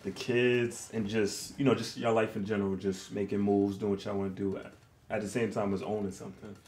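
A man with a fairly low, level voice, asking questions as a host. The room is clearly echoey, with some faint noise behind him. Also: flat delivery, brisk, darkish tone. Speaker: podcast host. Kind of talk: casual interview. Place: small restaurant dining room, indoors.

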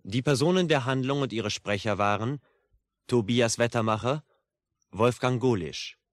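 A man's voice: mid-range voice, moderate pace, dry recording, clean studio sound. A man working as a radio announcer, reading scripted credits in formal 1950s broadcast style.

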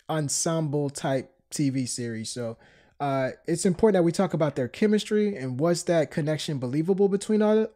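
A man's voice: a little high, dark tone. A man hosting a podcast in casual chat, a bit stuffed up from a cold. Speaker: podcast host.

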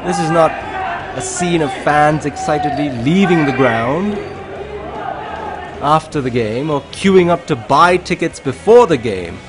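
A man presenting a sports highlights show in a scripted voice-over, with an emphatic, measured delivery. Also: lively intonation, a crowd in the background. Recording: music under the voice. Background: background music.

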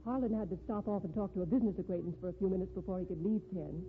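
An actress playing a genteel, cowardly former sweetheart, refined and hesitant in 1950s radio style. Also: female voice, mid-range voice, racing, lightly acted. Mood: gracious. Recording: tinny, noisy 1950s radio recording.

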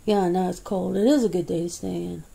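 Woman ASMR creator, casual one-sided home chat, rambling and laughing, husky mellow tone. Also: fairly low pitch, steady tone, fast talker. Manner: rambling, chatty, laughs often, many fillers. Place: indoors at home.

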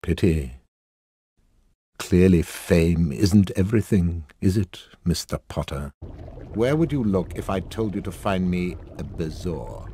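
A man's voice: low-pitched, expressive, dark tone, husky, no room sound, exaggerated voice. A man with a British accent playing a stern, contemptuous middle-aged professor in a video game, silky and condescending. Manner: slow, deliberate, condescending. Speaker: teacher. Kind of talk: video game character acting.

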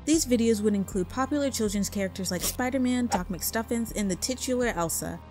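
A woman's voice: fairly high pitch, a little fast, low-quality recording. A woman reading scripted narration as the narrator of a video essay. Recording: background music under voice. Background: music.

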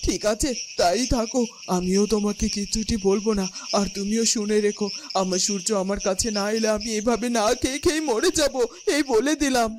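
A woman's voice: mid-range voice, fairly quick, background noise, lightly acted. A woman playing a distraught, stubborn village mother in a cartoon, plaintive and scolding in a melodramatic way. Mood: sulky, threatening.

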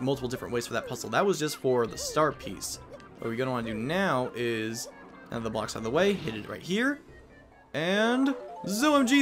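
A man hosting a let's play, giving chatty, self-mocking commentary with quick asides. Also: mid-range voice. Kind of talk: casual game commentary.

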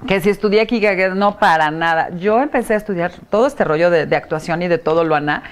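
A middle-aged Mexican woman, an actress raised in Puebla, telling stories in a lively, animated way as an interview guest, seated on an indoor set. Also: on the low side, fast. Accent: Mexican Spanish. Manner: lively, animated storytelling with frequent laughs. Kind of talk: casual interview chat.

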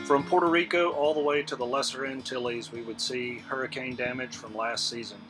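A man giving calm, matter-of-fact voice-over narration for a sailing travel video. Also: medium pitch, brisk, loud music behind the voice, poor audio.

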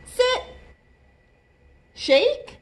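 A woman voicing a bold, bossy young girl who plays pirate captain in a storybook, theatrical and commanding. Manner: theatrical, commanding, drawn-out words.

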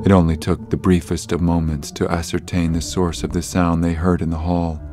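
A man narrating a scripted horror story in a measured, literary manner. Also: deep voice, little pitch movement, mellow.

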